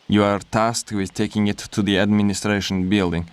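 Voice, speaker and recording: An actor playing a distrustful, severe Polish army major in a video game, speaking in a stiff, formal, curt manner. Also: male voice, on the low side, flat delivery, moderate pace, clear, resonant tone, dry recording, close to an everyday voice. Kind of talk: video game cutscene acting.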